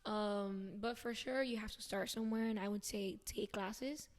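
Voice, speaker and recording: Flat-voiced woman rambling on. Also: medium pitch, moderate pace. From Venezuela. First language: Spanish. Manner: rambling, chatty, thinks aloud as she talks. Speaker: podcast guest. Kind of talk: casual interview chat.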